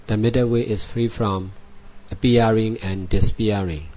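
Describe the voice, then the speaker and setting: A man who is a native Burmese speaker gives guided meditation as a Buddhist teacher, in measured, repetitive short phrases with frequent pauses. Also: fairly low pitch, steady tone, mellow. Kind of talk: guided meditation, spoken dhamma instruction. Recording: dull lo-fi recording.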